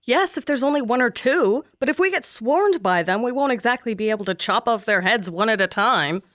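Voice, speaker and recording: A woman's voice: a little high, expressive, brisk, a bit bright, dry recording, studio quality. A woman playing a no-nonsense young monster hunter in a comedic audio drama, firm and businesslike. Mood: firm, patient.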